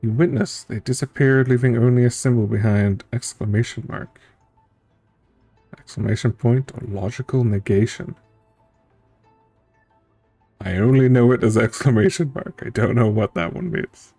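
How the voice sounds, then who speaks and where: A man's voice: medium pitch, steady tone, moderate pace, pauses often, mellow, no room sound. A man streaming a story game, reading its text aloud and adding casual, musing reactions as he thinks it through. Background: game music.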